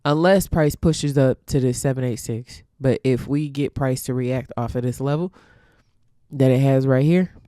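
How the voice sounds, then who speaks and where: A woman's voice: very deep voice, moderate pace, dark tone, dry recording, clean studio sound. A congested woman teaching forex trading, walking through a chart casually and thinking aloud with ums and restarts.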